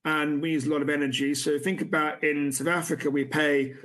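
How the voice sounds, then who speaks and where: A man speaks on a video call, answering investor questions as a company's chief executive. He keeps stopping and restarting his sentences, filling the gaps with "um" and "you know". His voice sits a little high for a man. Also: flat delivery, fairly bright tone. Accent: non-American. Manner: halting, many restarts and fillers. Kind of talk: conference Q&A. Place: room with a city view at night.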